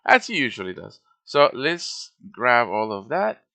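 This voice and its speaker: A man's voice: medium pitch, sing-song, measured pace, vocal fry, sharp tone. A man giving a casual show-and-tell tutorial as a game modder, informal and thinking aloud as he works.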